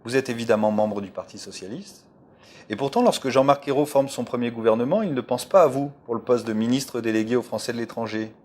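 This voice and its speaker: A man interviewing a guest, asking formal, measured questions. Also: mid-range voice, darkish tone.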